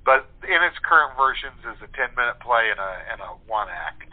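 An American man chatting as an interview guest, speaking in a hesitant way with pauses to find his words. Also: mid-range voice, animated. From United States. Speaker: guest, playwright and author. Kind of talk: casual interview chat. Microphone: telephone. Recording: tinny narrow phone line.

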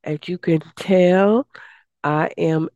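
Raspy hoarse lady radio host, slow easy pace, dull dry sound. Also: warm tone, dry recording. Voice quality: raspy, deeper than usual. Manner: chatty, upbeat, promotional, with many uh fillers. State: hoarse from days of talking. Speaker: radio show host and success coach. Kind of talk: casual radio talk.

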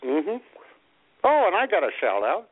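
A man's voice: a little high, wildly swooping pitch, normal speed. A man co-hosting a casual comedy radio show, chatting in an easygoing, folksy way. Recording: thin phone-like internet radio audio.

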